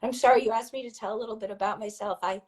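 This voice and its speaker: A woman from Hong Kong and Brazil, a filmmaker guest, explaining her ideas thoughtfully in a hoarse voice. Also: fairly high pitch, fairly even intonation, rapid-fire, darkish tone. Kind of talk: interview answer.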